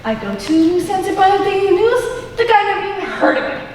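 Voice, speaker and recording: Teen actress as a flustered, high-pitched American woman. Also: very animated, fast talker. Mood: exasperated. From United States. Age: teens. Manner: agitated, incredulous. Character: flustered, exasperated woman having a baffling day. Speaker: student stage actor. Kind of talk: one-act stage comedy acting. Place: school auditorium stage. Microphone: distant camera microphone. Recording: echoey auditorium recorded from far off, hiss.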